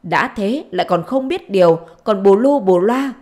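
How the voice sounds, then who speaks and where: Woman reading as an angry village husband, animated scolding. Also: mid-range voice, normal speed, lightly acted. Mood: furious. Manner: gruff-worded scolding and threats. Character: angry middle-aged village husband, stern, threatening.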